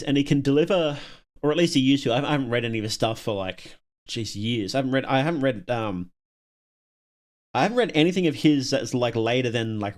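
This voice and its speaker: Man rambling casually on a livestream. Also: mid-range voice. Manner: rambling, musing aloud, trailing off. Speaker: live streamer chatting with viewers. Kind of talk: casual off-the-cuff livestream chat.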